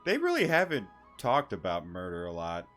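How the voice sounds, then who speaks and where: Lowish-voiced young man reacting to a game. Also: huge pitch swings, a bit bright. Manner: halting, rambling, joking. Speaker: gaming video host. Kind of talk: casual reaction commentary over gameplay. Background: game music.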